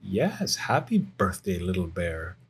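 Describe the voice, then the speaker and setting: A man voicing an affectionate mother bear in a children's storybook, calm, patient and gently teasing. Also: medium pitch, moderate pace, breathy edge. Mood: loving.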